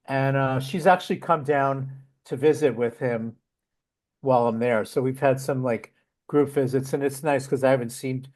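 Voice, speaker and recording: A man's voice: mid-range voice, moderate pace, darkish tone. A man, a guest volunteer, talking casually in an interview, with a rambling delivery full of fillers and restarts.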